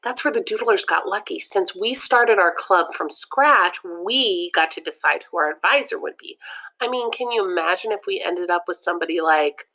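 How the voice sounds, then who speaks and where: A woman's voice: lowish voice, normal speed. Woman reading a kids' book aloud. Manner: lively, expressive, explains words to kids. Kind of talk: children's book read-aloud with explanations.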